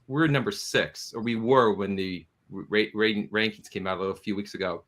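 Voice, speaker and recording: Man, slightly low pitch, producer, interview guest, conversational, promotional. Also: brisk, fairly bright tone.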